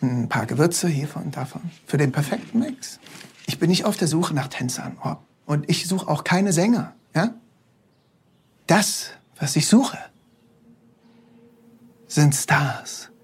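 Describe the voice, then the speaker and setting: An actor playing a brash, self-made music producer in a film drama. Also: male voice, on the high side, lively intonation, a little slow, long pauses, slightly rough, exaggerated voice. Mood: determined.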